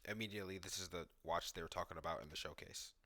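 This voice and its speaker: A man's voice: low-pitched, fast, pushed and bright, slight background noise. A man giving casual, analytical commentary on video game footage.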